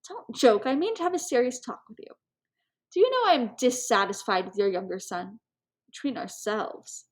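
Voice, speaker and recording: A woman reader voices a gushing, meddlesome society hostess from a classic storybook. Her voice sits somewhat high, and her manner is courtly and confiding, tinged with coy melancholy. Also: lively intonation, moderate pace, close to an everyday voice. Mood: confidential.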